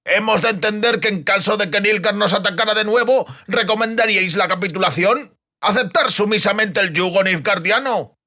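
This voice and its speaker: Man acting a sarcastic nobleman, raised pitch, challenging, fantasy storybook. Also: normal speed, bright, forceful tone, heavily acted. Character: haughty, challenging nobleman, a count.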